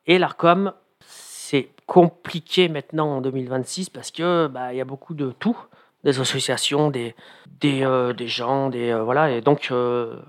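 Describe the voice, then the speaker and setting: A man's voice: mid-range voice, fairly even intonation, measured pace. A man who writes comedy columns for the radio, chatting in an interview with plenty of hesitations and fillers. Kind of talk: casual radio interview chat.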